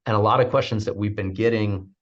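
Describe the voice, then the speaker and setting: A man's voice: fairly low pitch, fairly even intonation, quick pace, muffled recording. A man hosting a business webinar over a video call, speaking sincerely with frequent fillers and restarts. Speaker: webinar host. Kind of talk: webinar talk.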